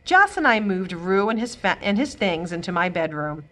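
A woman reading a children's chapter book aloud, giving the characters expressive, lively voices. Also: on the low side, normal speed. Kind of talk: storybook read-aloud. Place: library, indoors.

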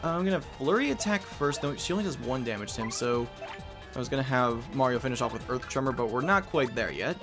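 A man's voice: mid-range voice, animated, quick pace. A man giving casual gameplay commentary as the host of a let's play. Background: game music.

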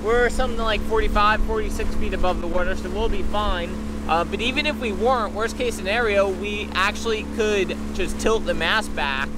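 Laid-back American man, loud boat noise. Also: high-pitched, normal speed, hardly any pauses. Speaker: sailing vlogger. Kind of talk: casual chat to camera. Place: open deck of a sailboat on water. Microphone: handheld camera at arm's length. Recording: outdoor camera mic, loud wind and boat noise.

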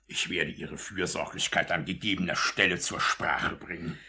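An actor playing a pompous, officious and easily outraged parish official in a 1950s German radio drama. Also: male voice, deep voice, steady tone, moderate pace, bright, forceful tone. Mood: mollified. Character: pompous, self-important male parish official in old England.

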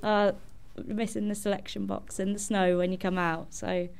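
A woman's voice: mid-range voice, level tone, moderate pace, gravelly, darkish tone, smooth. A woman from England who moved to Australia as a teenager, chatting casually as a student guest. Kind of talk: casual reminiscing chat.

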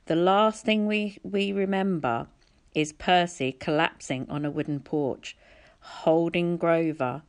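Casting: female audiobook reader, slightly low voice, narrating a storybook. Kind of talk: storybook narration, reading aloud.

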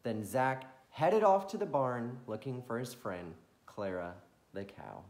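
Lively man reading a bedtime story. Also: medium pitch, a little slow. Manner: lively, expressive reading with playful asides. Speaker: storyteller reading a picture book. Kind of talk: bedtime story read-aloud. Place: farm, reading at home to camera.

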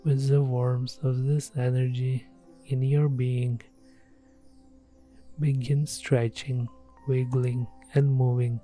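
A man leading a scripted guided meditation in a soothing, gentle, measured way. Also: mid-range voice, little pitch movement. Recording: soft music behind the voice. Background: background music.

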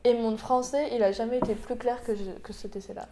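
A woman's voice: fairly high pitch, fairly even intonation, a little fast, background noise. A young woman, a native French speaker, hosting a casual chat, talking in loose trailing phrases with frequent fillers and laughter. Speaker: interviewer, vlog host. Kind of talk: casual conversation mixing French and English.

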